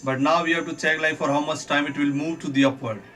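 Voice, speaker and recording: A man's voice: fairly high pitch, fairly even intonation, fast talker, a bit bright. Man explaining trading charts on a live stream. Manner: talks viewers through charts while reading chat. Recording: distant mic with faint music behind.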